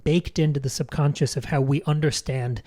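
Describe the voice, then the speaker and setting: Woman, podcast co-host, mellow soft-edged tone, articulate, emphatic, literary wording, conversational film analysis. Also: sits low, steady tone, moderate pace.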